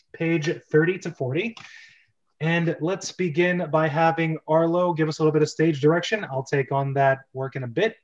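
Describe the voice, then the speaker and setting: Dull-sounding, higher-pitched male show host. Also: slight background noise. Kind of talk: scripted show introduction and casual chat.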